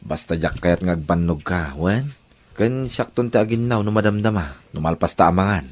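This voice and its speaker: A man's voice: low-pitched, animated, moderate pace. A man playing a controlling, jealous working-class husband in an Ilocano audio drama, with a Filipino Ilocano accent. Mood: tender, insistent.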